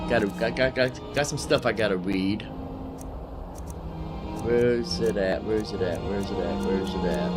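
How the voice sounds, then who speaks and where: A man's voice: on the low side, a little slow, long pauses. Man chatting over game music. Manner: informal, repeats phrases to himself. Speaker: gaming video host. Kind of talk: casual let's play commentary.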